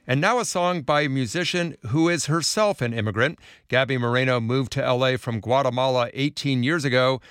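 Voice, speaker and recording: A man's voice: medium pitch, a bit bright, smooth, no room sound, clean studio sound. An American man reading scripted links as a radio show host. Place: radio studio. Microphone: close studio mic.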